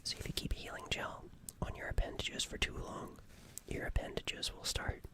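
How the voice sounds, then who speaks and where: A performer voicing a gentle, apologetic alien medic in a whispered ASMR roleplay, hesitant and halting, softly repeating phrases. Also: lightly acted. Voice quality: breathy whisper.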